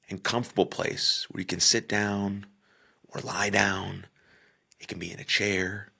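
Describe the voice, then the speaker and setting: A man leading a guided meditation, speaking gently in short phrases with pauses and sometimes trailing off into a near-whisper. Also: on the low side, creaky, husky. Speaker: podcaster. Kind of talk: guided meditation, soft slow instruction.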